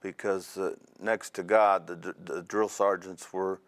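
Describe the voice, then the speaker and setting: Deep-voiced man in his fifties. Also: flat delivery. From Indiana. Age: 50s. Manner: measured, reflective storytelling with frequent pauses. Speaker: interview guest, Army veteran. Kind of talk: oral history interview.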